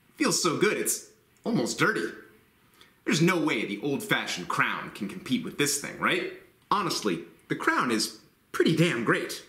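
Lively, bright-voiced woodworking host. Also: male voice, medium pitch. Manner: enthusiastic, blunt, jokey asides. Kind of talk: scripted tool review to camera. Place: woodworking shop. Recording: roomy workshop echo.

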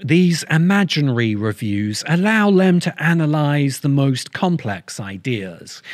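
A middle-aged man delivering a scripted literary essay with emphatic, measured, dramatic phrasing into a close microphone. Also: medium pitch, no echo. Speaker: video essayist and host. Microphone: close studio-style microphone on stand.

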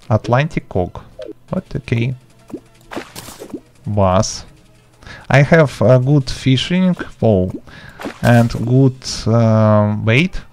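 A man, speaking in halting, simple step-by-step instructions as he hosts an informal video game tutorial. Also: fairly low pitch, darkish tone. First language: not English. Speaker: gaming tutorial host. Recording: music behind the voice. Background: background music.

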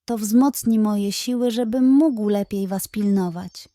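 A woman's voice: mid-range voice, measured pace, dark tone, dry recording, close to an everyday voice. A woman voicing a lazy, sly male pug who poses as a king in a children's story, talking pompously. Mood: smug. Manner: pompous, self-important.

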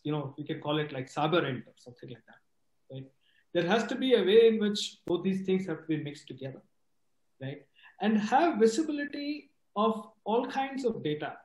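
A middle-aged man talks through his ideas on a video call, with big, animated gestures. His voice sits high for a man. The sound is roomy, with some echo around it. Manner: animated, gesturing widely while explaining. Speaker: panelist. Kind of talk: panel discussion on a video call.

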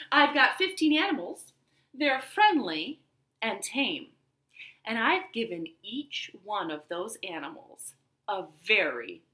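A young woman reads aloud to small children as a storytime host. Her voice is bright and forceful, with big melodic swings and an unhurried pace. It sounds like a home living room with noticeable echo. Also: on the high side. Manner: animated, slow deliberate delivery for young children. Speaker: library storytime host and reader.

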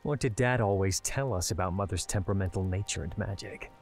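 A man's voice: sits low, brisk, faint music behind. A man playing a defiant, protective young seafarer in a fantasy audio drama. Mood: amazed.

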